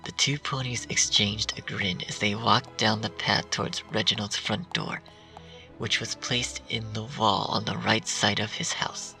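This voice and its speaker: A man reading dark fan fiction aloud in a hushed, half-whispered narration. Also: on the low side, vocal fry. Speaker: story reader. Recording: distant mic with faint music.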